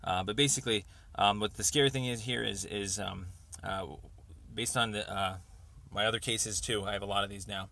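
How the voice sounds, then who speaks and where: A man leaving an informal, rambling voicemail over the phone, speaking haltingly as he pieces his thoughts together. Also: on the low side, steady tone, vocal fry, sharp tone. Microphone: telephone.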